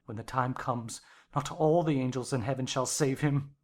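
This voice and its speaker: A woman's voice: rumbling low, normal speed, faint music behind, voice pushed down. A woman voicing a grim, surly country master, brooding and vengeful, growling with menace in a dramatized novel reading. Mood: dark, obsessive menace.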